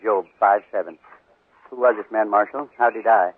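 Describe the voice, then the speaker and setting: A man's voice: lowish voice, moderate pace, no echo, natural voice. Man acting a declaiming frontier preacher, old radio. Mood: solemn. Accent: American. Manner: declamatory, intoning. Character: solemn, fervent frontier preacher quoting scripture, male. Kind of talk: 1950s radio western acting. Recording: muffled old radio broadcast.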